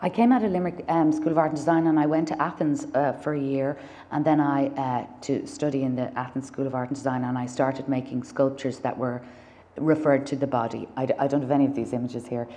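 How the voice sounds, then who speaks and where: An Irish woman in her thirties speaks at a gallery lectern in a very low, mellow voice. She talks thoughtfully, pausing on fillers and restarting her phrases. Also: fairly even intonation. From Ireland. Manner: thoughtful, many fillers and restarts, gestures with hands. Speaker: artist. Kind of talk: public conversation on stage. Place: dark stage in a gallery lecture hall.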